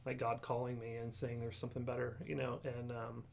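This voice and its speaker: A man in his forties from Ohio, telling his faith story in a halting, reflective way with many pauses and ums. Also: mid-range voice, monotone, gravelly, a little mellow, a little hiss or hum. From Ohio, USA. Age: 40s. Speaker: guest sharing testimony. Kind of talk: casual conversational testimony.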